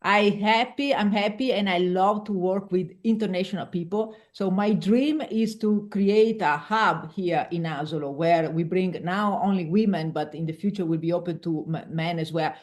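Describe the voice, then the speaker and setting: A woman's voice: on the low side, normal speed, few pauses, darkish tone. A woman from Italy's Veneto region, a native Italian speaker with an Italian accent, speaking as an executive coach guest in a casual interview. She restarts words often as she searches for her English phrasing.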